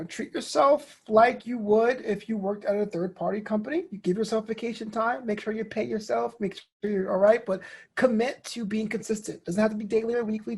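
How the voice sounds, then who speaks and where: A man's voice: high-pitched, a little mellow, breathy edge. A man chatting casually as an interview guest, conversational and inclined to joke. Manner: jokey, conversational. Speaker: interview guest, podcaster and comedian. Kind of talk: casual chat.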